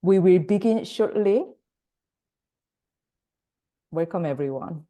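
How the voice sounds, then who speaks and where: Korean-native woman hosting a zoom workshop, low-ish warm voice, pausing a lot. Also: animated. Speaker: workshop host and facilitator. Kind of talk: webinar introduction over video call. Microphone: video call.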